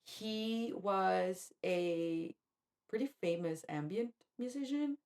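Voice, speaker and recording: A woman from Colombia co-hosting a podcast, telling a personal story in an animated, chatty way with lots of "like". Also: medium pitch, slow. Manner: animated, quotes herself, fillers like "like". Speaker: podcast co-host. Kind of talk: casual conversational storytelling.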